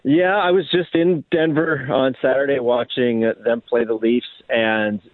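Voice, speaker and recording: A man's voice: mid-range voice, steady tone, unhurried, no room sound. A man talking sports analysis as a radio guest over a phone line, often repeating and restarting his phrases. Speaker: hockey writer, interview guest. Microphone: phone call. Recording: tinny, narrow phone-line sound.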